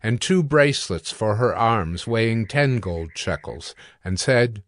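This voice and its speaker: A man narrating scripture from a script in a measured, formal manner. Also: fairly low pitch, animated, no room sound.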